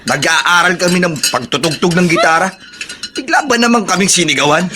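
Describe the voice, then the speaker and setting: A man's voice: sits high, expressive, a little fast, heavy background noise. An actor playing a blunt, resentful young man with a Filipino accent, complaining defiantly in a radio drama.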